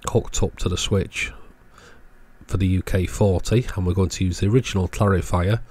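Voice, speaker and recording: Bloke talking through a tech job, deep voice, bit rough and husky. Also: male voice, fairly even intonation, normal speed, a little mellow. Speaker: electronics repair video host. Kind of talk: informal technical bench walkthrough.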